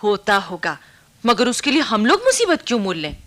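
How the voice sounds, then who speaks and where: A woman's voice: mid-range voice, wildly swooping pitch, moderate pace, fairly bright tone. A young woman acting in a Hindi radio play as a pragmatic, slightly vain best friend with a girlish, knowing way of talking. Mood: knowing, dismissive. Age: young adult.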